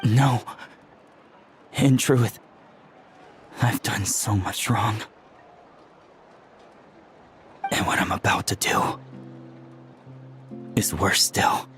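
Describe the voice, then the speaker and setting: An actor playing a guilt-ridden young training medic, self-doubting, hesitant and earnest. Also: male voice, fairly low pitch, slow, pauses often, a bit bright, faint music behind. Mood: guilty, heavy. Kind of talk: dramatic video game acting.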